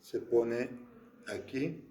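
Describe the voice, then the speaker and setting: A Texas-born man in his fifties, casually explaining and demonstrating slingshot shooting to the camera outdoors, in a plain way with pauses and restarts. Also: medium pitch, dark tone. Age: 50s.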